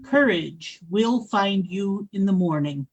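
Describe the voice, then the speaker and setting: Woman voicing a wise female spirit, radio play, very slow, measured, solemn. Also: mid-range voice, expressive, highs cut off. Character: solemn ageless female spirit guiding travelers. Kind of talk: 1940s-style radio drama acting.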